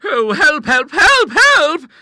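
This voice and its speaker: A man's voice: extremely high pitch, sing-song, moderate pace, sharp tone, no echo, studio quality, pitched above the performer's own voice, exaggerated voice. A man voicing a timid, easily horrified genteel old spinster in an audiobook, with breathless, quavering speech. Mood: terrified. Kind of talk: audiobook character voice.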